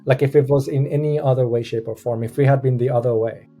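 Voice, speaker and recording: Man, from Costa Rica, mellow voice, casual discussion, restarts a lot, faint music behind. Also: medium pitch, quick pace. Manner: animated, restarts often, acts out quoted speech.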